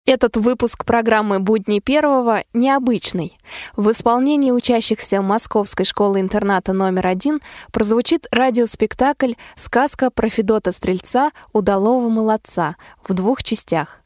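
A woman's voice: a little high, moderate pace, a little mellow, no room sound, studio quality. A woman reading a scripted radio programme announcement.

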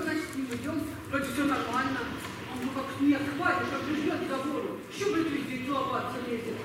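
A woman's voice: high voice, natural voice. Casting: actress as young village girl in uniform, artless and cheeky, colloquial; far from the mic, noisy echoing stage. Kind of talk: amateur war radio play acting.

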